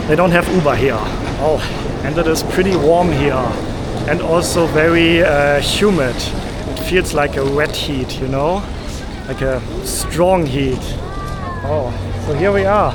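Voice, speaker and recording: A man from Germany making a casual travel vlog, thinking aloud with fillers as he walks through an airport and then outdoors. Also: a little high. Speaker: travel vlogger. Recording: noisy background.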